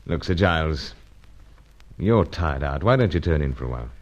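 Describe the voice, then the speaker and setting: An actor playing an earnest, gentlemanly secret agent in a radio adventure serial, speaking in a clipped, polite, theatrical manner. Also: male voice, very deep voice, animated, normal speed. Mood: earnest, regretful. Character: earnest gentlemanly British secret agent, adult man, narrating his adventures. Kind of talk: old-time radio adventure serial acting. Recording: dull, lo-fi radio serial sound.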